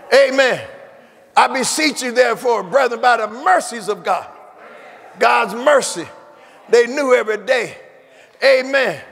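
A male pastor preaches in a very high, gravelly voice, shouting his lines with fervent repetition. The sound carries a long church reverb, and a congregation is faintly audible behind him. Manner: fervent call-and-response preaching, repeats phrases. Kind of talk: shouted sermon. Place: church sanctuary. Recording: echoey hall with congregation.

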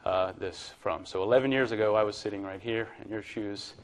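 A man in his thirties from Virginia giving a relaxed, chatty university lecture to a classroom, with plenty of ums and uhs. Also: lowish voice, fairly quick. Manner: relaxed, chatty, filler-heavy.

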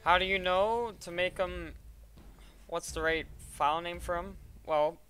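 A man casually talking through a software tutorial step by step in an informal way. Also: high voice, fairly even intonation, unhurried, clean tone, faint music behind. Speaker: tutorial presenter. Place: voice-over at a computer.

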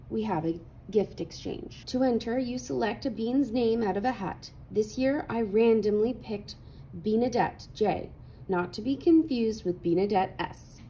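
Bright-toned woman reading a storybook, noisy background. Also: mid-range voice. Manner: animated storytelling with clear dramatic emphasis. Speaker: read-aloud host. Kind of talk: expressive children's picture-book read-aloud.

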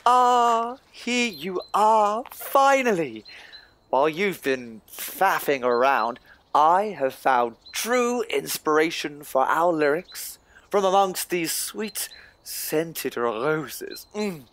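A theatrical, exasperated Tudor courtier. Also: male voice, fairly high pitch, very animated, fairly bright tone, exaggerated voice. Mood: urging. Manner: theatrical, exasperated, drawn-out emphasis. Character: anxious, exasperated Tudor court song lyricist, male. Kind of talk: comic audio drama acting.

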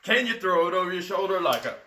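A middle-aged man giving excited running commentary as he explores a big cluttered warehouse, filmed on a handheld camera. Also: sits high, fast talker, a loud crowd. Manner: enthusiastic, exclaims often, thinks aloud. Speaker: vlogger picking for resale. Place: large cluttered indoor warehouse.

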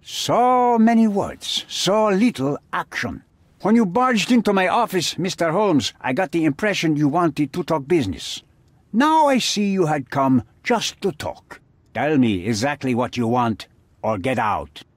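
An actor playing a menacing, haughty middle-aged crime boss with a husky voice, speaking formally and deliberately in a dramatic video game style. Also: male voice, a little high, very animated, no echo. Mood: irritated, dismissive.

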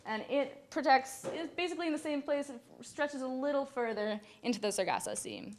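A woman's voice: high voice, big pitch swings, fairly quick, a little hiss or hum. A young woman giving a student presentation from a podium in a lecture hall, reading prepared points with small stumbles and fillers. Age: young adult. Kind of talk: academic presentation.

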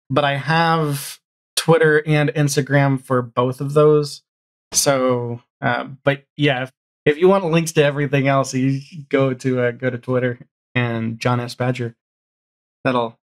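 A man chatting casually as a podcast guest, with a halting delivery that often repeats words as he explains. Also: mid-range voice. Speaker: podcast guest, audio drama showrunner. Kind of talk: casual chat. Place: home room, video call.

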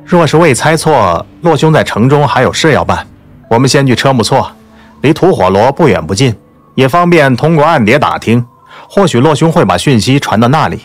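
A man playing a calm, shrewd strategist in a martial-arts audio drama, reasoning in a measured, deliberate way. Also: mid-range voice. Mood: composed, analytical. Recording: music under the voice.

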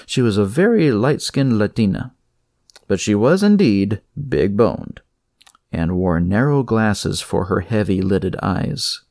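A man narrates horror fiction at a slow pace. His mid-pitched voice has a creaky edge and moves with lively intonation. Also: no echo, clean studio sound. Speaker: story narrator.

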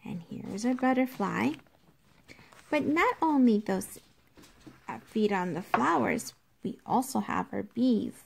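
A woman teacher reading a nonfiction book aloud to young children, explaining it in a deliberate, instructive way and addressing them directly. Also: on the high side, lively intonation, vocal fry, husky.

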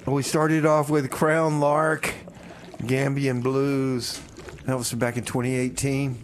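A man's voice: medium pitch, flat delivery, takes it slowly, a little hiss or hum. A man hosting a music radio show as DJ, casually announcing and bantering in a comic style.

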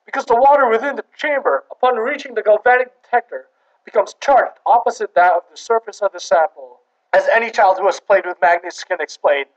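A man's voice: very high-pitched, recorded from a distance, muffled recording. A man narrating a mock 1940s newsreel in a pompous, grandiose announcer style. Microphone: voice-over.

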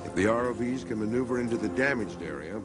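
A man's voice: medium pitch, quick pace, over loud music. A man reading scripted narration for a documentary.

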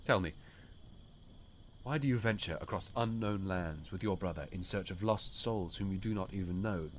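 Weary, commanding king for an audiobook, played by a male narrator: low voice, grave formal delivery. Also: normal speed, slight background noise, close to an everyday voice. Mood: questioning. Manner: formal, grave, archaic phrasing. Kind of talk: audiobook character dialogue.

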